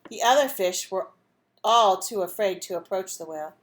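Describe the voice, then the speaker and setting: A woman reading a storybook aloud as a teacher would, pausing to put simple questions and instructions to young listeners. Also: mid-range voice, expressive. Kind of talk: storybook read-aloud with asides to children.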